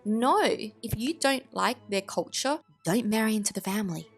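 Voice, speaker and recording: A woman's voice: mid-range voice, lively intonation, moderate pace, faint music behind. An Australian woman with an Australian accent, giving blunt, opinionated commentary full of indignant rhetorical questions. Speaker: commentary vlogger.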